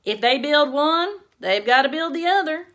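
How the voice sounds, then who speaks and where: A woman's voice: high-pitched, lively intonation, normal speed, fairly bright tone, voice pushed up. A woman voicing her devout, commanding Southern debutante mother with a thick Texas accent. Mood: wry. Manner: commanding, matter-of-fact.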